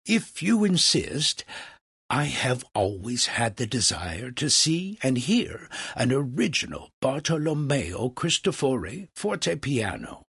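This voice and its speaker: A man's voice: mid-range voice, big pitch swings, slow, fairly bright tone, husky, no room sound, studio quality, pitched above the performer's own voice, heavily acted. A man voicing a wily old philosopher in a storybook, courteous and theatrically coy. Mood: wistful. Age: older. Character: wily old philosopher, flattering and feigning wistfulness.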